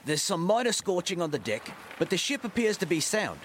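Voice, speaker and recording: An actor playing a dutiful ship's officer in an audio drama, reporting in a respectful, efficient way. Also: male voice, sits high, big pitch swings, a little fast, fairly bright tone, a little hiss or hum.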